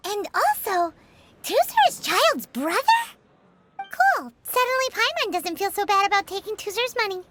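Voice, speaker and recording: A woman voicing a tiny, chatty, cheeky fairy-like girl companion in a video game, with a squeaky, blunt delivery. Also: sing-song, moderate pace, very noisy. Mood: surprised, blunt. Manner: refers to herself by name, blunt, squeaky.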